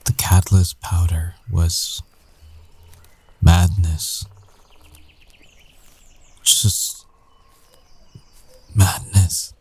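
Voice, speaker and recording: Casting: male actor as a secretive werewolf ex, low and slow, halting and earnest, intimate audio-roleplay delivery. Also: flat delivery, a little mellow, husky, full character voice. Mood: weary, shaken. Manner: halting, hesitant, earnest, with long pauses. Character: guarded werewolf ex-boyfriend, young adult man, shaken, earnest, secretive. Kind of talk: intimate ASMR boyfriend roleplay acting.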